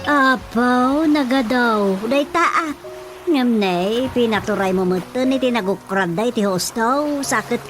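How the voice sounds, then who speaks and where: A woman's voice: fairly high pitch, unhurried, natural voice. An actress with an Ilocano Filipino accent playing a frank, motherly older village woman in a radio drama. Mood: teasing, frank. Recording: music bed behind the voice.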